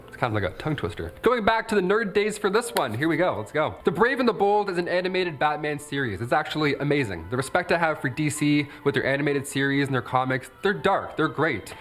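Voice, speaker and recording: An American man in his late twenties hosting a countdown video, mixing scripted commentary with joking, conversational asides. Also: fairly high pitch. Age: late 20s. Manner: jokey, animated, conversational. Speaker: stand-up comic.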